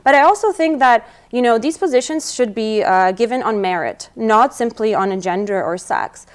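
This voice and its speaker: Young woman, twenties, non-native English, earnest political guest, smooth clear tone, lapel mic in studio. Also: fairly high pitch, animated, normal speed. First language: not English. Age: 20s. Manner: earnest, eager, fluent with fillers. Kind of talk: studio interview answers.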